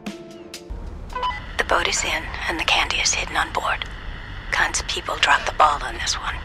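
An actress voicing a hushed, businesslike heist briefer giving clipped, whispery instructions in a video game. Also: female voice, low-pitched, wildly swooping pitch, measured pace, heavily acted. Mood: focused, secretive. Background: music.

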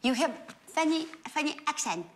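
Woman putting on a high-voiced, naive Japanese interviewer. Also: expressive. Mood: cheeky, mock-serious. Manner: halting broken English, repeats phrases, mock-serious. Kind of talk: comic prank interview. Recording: very noisy, muddy background.

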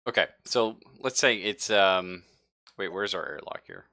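A man's voice: deep voice, animated, unhurried, pushed and bright. A man speaking casually and informally as game master of a tabletop game. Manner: informal, profane.

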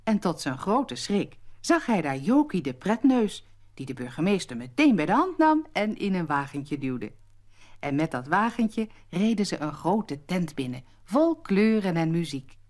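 Female storyteller for children: slightly low voice, very lively intonation, scripted narration. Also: unhurried.

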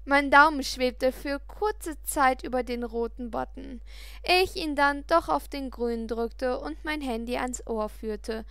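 Bright, high-voiced woman reading a story. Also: a little slow, clean tone, no echo, clean studio sound. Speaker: audiobook narrator. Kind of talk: expressive first-person story reading.

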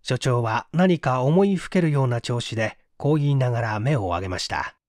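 Expressive male story narrator, dry close sound. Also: mid-range voice, moderate pace, no room sound. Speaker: audiobook narrator and reader. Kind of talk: scripted literary narration of a mystery story.

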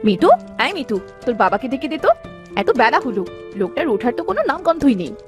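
A woman acts out a sharp-tongued village mother from a cartoon fairy tale. Her voice is high and swings up and down as she complains and scolds at a brisk pace, with music behind her. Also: big pitch swings. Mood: bossy, impatient. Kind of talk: dramatic cartoon story dub.

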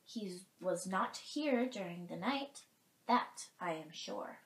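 A proud, boastful young buck from a storybook, read by a woman. The delivery is firm, confident and unhurried, in an echoing room. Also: medium pitch, expressive, distant mic. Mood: certain. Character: boastful young male deer, strong and confident. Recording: roomy, echoing space.

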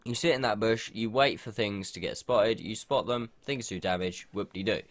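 A man's voice: fairly low pitch, moderate pace. A young man with a stuffy nose from a cold, casually commenting over a game replay and explaining tactics with lots of ums. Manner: rambling, explanatory, many ums. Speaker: gaming channel host commenting a replay.

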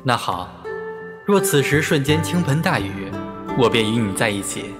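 Actor as soft-voiced young male ghost, gentle, music underneath. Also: mid-range voice, lively intonation, normal speed, lightly acted. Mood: wistful. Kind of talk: Chinese audio drama acting.

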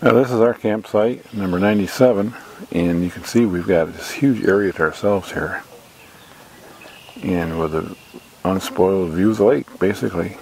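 A middle-aged man with a low, creaky voice narrates a casual tour outdoors. He talks matter-of-factly and pauses as he searches for words. A handheld camera mic picks up some faint ambient noise around him. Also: a little mellow, rough recording. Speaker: campground reviewer and vlogger. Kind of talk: casual unscripted walk-through narration. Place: outdoors at a lakeside campground. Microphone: handheld camera mic, operator off screen.

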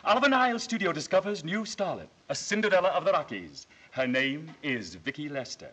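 A man's voice: a little high, big pitch swings, fairly quick, fairly bright tone, slightly rough, exaggerated voice. A man playing a gushing Hollywood gossip announcer in an old film, delivering florid newsflash hype. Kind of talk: 1930s newsflash narration.

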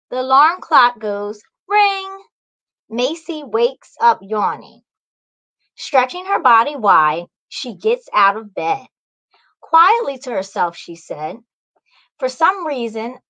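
Female children's author, thirties, slow measured storybook delivery, voice a bit high. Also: a bit bright, poor audio. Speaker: children's author, guest reader. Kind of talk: storybook read-aloud. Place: small indoor room, video call.

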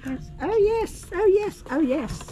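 A woman's voice: very high-pitched, lively intonation, unhurried, heavy background noise. An older woman working a store checkout, chatting and agreeing readily with a customer. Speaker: shop clerk. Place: indoors at a store checkout counter. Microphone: camera worn by the customer, at some distance.

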